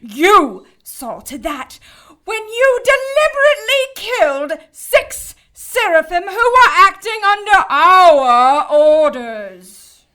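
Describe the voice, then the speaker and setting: An actress playing a stern, imperious cherub judge in an audio drama, pompous, formal and commanding. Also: female voice, very high-pitched, very animated, takes it slowly, sharp tone, heavily acted. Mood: indignant, commanding.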